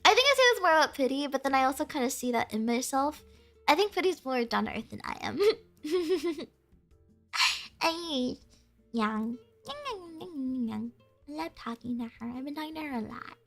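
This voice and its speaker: A woman's voice: high voice, lively intonation, moderate pace, a bit bright, faint music behind. A young woman streaming and chatting casually with her viewers, bubbly and giggly, full of "like" fillers, with a stuffy, sniffly nose.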